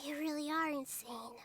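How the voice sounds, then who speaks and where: A woman voicing a small, outspoken, childlike fairy companion in a video game, with a cute, emphatic delivery. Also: high voice. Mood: disapproving. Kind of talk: video game character acting.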